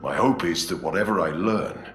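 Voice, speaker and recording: A man's voice: sits low, normal speed, fairly bright tone. An actor playing a dutiful, resolute male commander of space warriors in a video game, speaking solemnly and formally. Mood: solemn, resolved. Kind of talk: video game cutscene acting. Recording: echoey, faint music behind.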